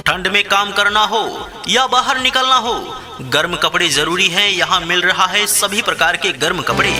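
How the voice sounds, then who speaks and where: Guy with a high voice doing a pushy street sales ad, music playing behind him. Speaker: announcer for a street loudspeaker sales advert. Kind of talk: scripted promotional announcement.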